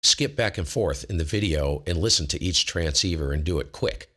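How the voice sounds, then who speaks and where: A man with a very low voice hosting a casual ham radio livestream, talking informally and self-deprecatingly, often wandering mid-sentence. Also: normal speed, fairly bright tone. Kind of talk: casual technical talk to a live chat.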